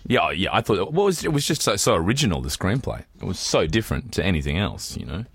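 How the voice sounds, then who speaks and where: Fast-talking, low-voiced Australian man. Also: very animated, creaky, slightly rough. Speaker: podcast host; trained actor and voiceover artist. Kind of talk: casual banter about films and awards.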